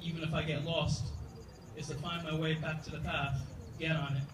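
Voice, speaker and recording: A man's voice: on the high side, flat delivery, moderate pace. A man giving a spoken word poetry reading with a rhythmic, rhyming delivery. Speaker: poet. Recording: echoey room from far off, loud noise.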